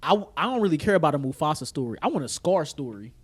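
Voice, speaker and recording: A man's voice: on the high side, very animated, fast talker, husky. An American man co-hosting a podcast, chatting casually with emphatic, slangy phrasing and frequent repetition. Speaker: podcast co-host. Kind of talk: casual chat about film trailers and news.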